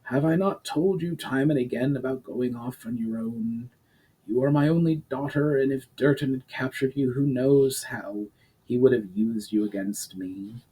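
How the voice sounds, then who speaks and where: A man voicing a fatherly fantasy duke in a storybook reading, firm, formal and concerned, with measured gravity. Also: medium pitch, warm tone, breathy edge, close to an everyday voice, low-quality recording.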